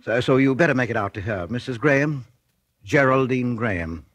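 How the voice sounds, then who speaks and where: A man's voice: medium pitch, lively intonation, fairly quick, fairly bright tone, no echo. An actor playing a meek, nervous man, hesitant and easily flustered, in a 1940s radio drama. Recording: 1940s radio broadcast with music.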